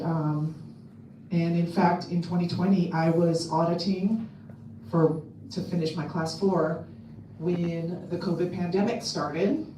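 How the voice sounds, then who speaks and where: A woman with a loud voice giving a casual talk to a convention audience, chatty and full of ums and restarts. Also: lowish voice, slight background noise. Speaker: convention speaker. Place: convention hall. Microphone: handheld mic on a stand. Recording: echoey hall, mic at a distance.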